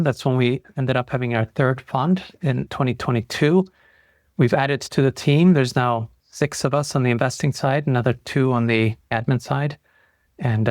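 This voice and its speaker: A man's voice: medium pitch, a little mellow, dry recording. Enthusiastic man from Europe, dry close sound. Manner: fluent, enthusiastic storytelling with occasional fillers. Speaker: podcast guest, investor. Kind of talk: conversational interview answers.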